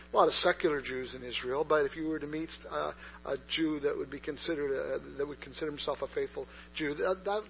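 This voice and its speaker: A man's voice: fairly high pitch, creaky. A man preaching a sermon in a conversational way, with many fillers and restarts. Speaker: pastor. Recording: dull lo-fi recording with mains hum.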